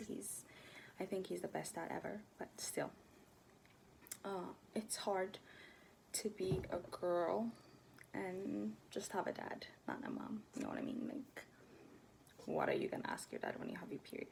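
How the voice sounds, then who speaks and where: A woman in her twenties from Ethiopia talking casually to her camera, soft-spoken and hesitant, often dropping to near-whispers. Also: mid-range voice, gravelly, slight background noise, poor audio. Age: 20s. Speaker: vlogger. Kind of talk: casual personal talk to camera.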